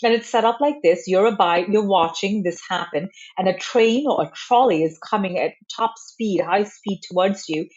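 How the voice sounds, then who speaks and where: A woman psychologist from Malaysia, answering interview questions in a measured, explanatory way. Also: medium pitch, a little mellow, muffled recording. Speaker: psychologist guest.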